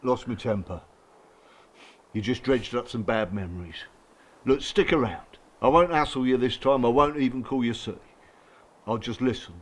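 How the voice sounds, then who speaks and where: An actor playing a blunt, bruised working-class support group regular in a radio drama, colloquial, sardonic and probing. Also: male voice, medium pitch, moderate pace, long pauses, close to an everyday voice. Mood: conciliatory. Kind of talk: naturalistic radio drama acting.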